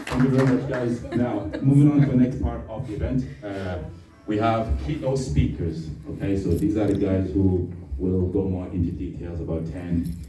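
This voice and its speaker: A man's voice: fairly low pitch, fairly even intonation, moderate pace, few pauses, warm tone, slight background noise. A man hosting an event, introducing speakers in a casual, jokey way. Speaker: event host. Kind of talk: emcee introductions. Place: large indoor hall. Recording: echoey hall from far off.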